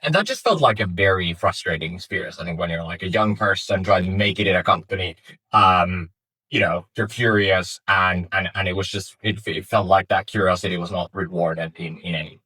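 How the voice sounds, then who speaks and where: A young man from Finland speaks in a low, husky voice, giving interview answers about his startup. He talks thoughtfully, pausing with fillers and restarting his sentences. The sound is dry and close, with little room echo. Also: pushed and bright. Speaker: startup founder. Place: office in Finland.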